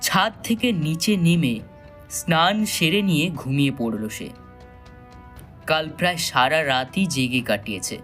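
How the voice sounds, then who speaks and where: A man narrating a thriller audio story in a dramatic, suspenseful style with measured pauses. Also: on the high side, lively intonation, a bit bright, soft background music.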